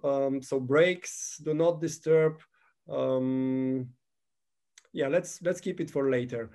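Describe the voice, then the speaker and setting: A man of about fifty from Bulgaria, answering interview questions in halting, phrasing and pausing to find his words. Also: mid-range voice, fairly even intonation, warm tone. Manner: halting, non-native phrasing, pauses to search for words. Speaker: guest.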